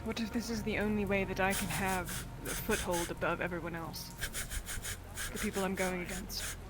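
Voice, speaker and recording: A woman's voice: medium pitch, fairly even intonation, moderate pace, noisy background, lightly acted. A woman playing a proud, defiant young chaos mage in a fantasy roleplay, insistent and self-justifying. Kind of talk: improvised fantasy roleplay acting. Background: rain.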